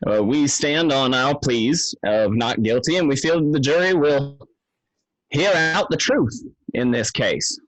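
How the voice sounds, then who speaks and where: Brief: man as a hesitant defense attorney, slow, dry close mic. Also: medium pitch, a bit bright, no room sound, natural voice, low-quality recording. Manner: hesitant, with fillers. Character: hesitant male defense lawyer.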